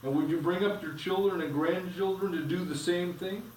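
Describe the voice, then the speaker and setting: A man reading aloud, voicing a majestic, kind male lion king in a grave, measured way. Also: high-pitched, a little mellow, a little hiss or hum, pitched above the performer's own voice, close to an everyday voice. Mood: solemn. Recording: echoey room, from a distance.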